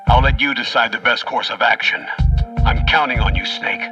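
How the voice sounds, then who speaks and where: An actor playing an authoritative older colonel in a video game drama, giving measured, firm briefings over a radio. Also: male voice, medium pitch, steady tone, bright, forceful tone, distant mic, lo-fi sound. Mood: steady, instructing. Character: authoritative older male colonel, steady mission commander. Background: music.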